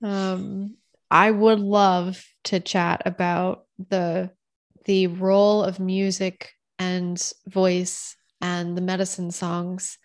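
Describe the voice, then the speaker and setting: Woman interviewer, lower pitch, conversational and encouraging. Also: little pitch movement, slow pace. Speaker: podcast host. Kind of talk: interview questions, casual.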